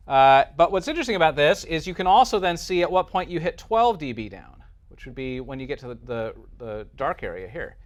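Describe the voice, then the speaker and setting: A man's voice: medium pitch, fairly bright tone. Guy teacher explaining technical stuff to a class, informal, checks in with listeners, mid-range voice. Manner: explanatory, checks in with listeners using right and okay. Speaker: teacher, lecturer.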